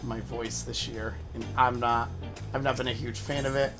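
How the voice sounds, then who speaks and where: A man streaming casually to his viewers, his voice a little raspy from allergies, talking in a relaxed, rambling way. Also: medium pitch, moderate pace. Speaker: VTuber streamer. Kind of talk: casual livestream chat. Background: game music.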